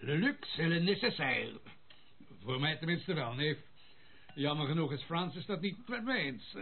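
A pompous old retired general who loves his comforts and grumbles about being denied them. His voice is creaky and fairly high for a man, heard through a tinny, noisy old radio drama recording. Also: animated, unhurried, faint music behind, full character voice. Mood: indignant, grumbling. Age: older. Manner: pompous, complaining.

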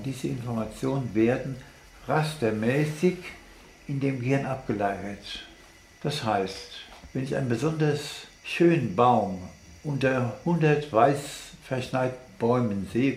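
Gravelly man explaining in an echoey room. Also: mid-range voice, a little mellow. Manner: halting, deliberate, thinking aloud. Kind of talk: unscripted explanatory monologue. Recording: echoey room with background noise.

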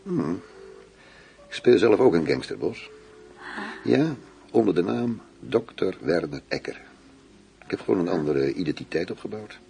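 Deep-voiced, smug undercover police chief. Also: male voice, sing-song, moderate pace, creaky, long pauses, dark tone, faint music behind, full character voice. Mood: confiding, boastful. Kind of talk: intimate radio play dialogue.

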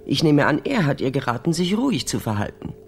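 Woman doctor, formal, deliberate, lively pitch, crime radio drama, background noise. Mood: thoughtful, clinical. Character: older female doctor, formal, careful, reserved.

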